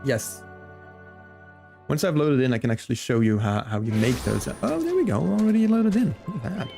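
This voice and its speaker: A man's voice: mid-range voice, big pitch swings, normal speed, gravelly, warm tone, faint music behind. A man chatting casually and playfully with viewers as he plays and comments on a game on a live stream.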